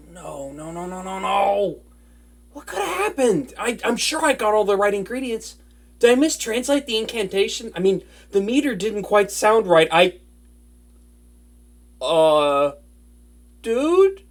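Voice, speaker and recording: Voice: medium pitch, full character voice. A performer voicing an earnest, flustered young wizard in an intimate fantasy audio drama, chatty and prone to anxious stammering. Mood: alarmed.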